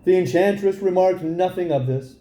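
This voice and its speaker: A man narrating a fairy tale as a storybook reader, in an expressive style. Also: high-pitched, moderate pace, roomy sound.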